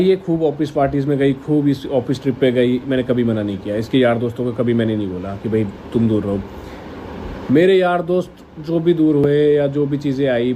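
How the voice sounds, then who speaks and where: Mellow-voiced man, slightly high. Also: slight background noise. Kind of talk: casual personal explanation to camera.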